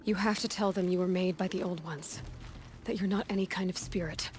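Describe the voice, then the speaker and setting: Low-pitched young heroine voiced by an actress. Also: female voice, steady tone, fairly quick, slight background noise, natural voice. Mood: sympathetic, frank. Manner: plain-spoken, gently direct. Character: young, frank, compassionate female warrior heroine. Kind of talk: video game voice acting.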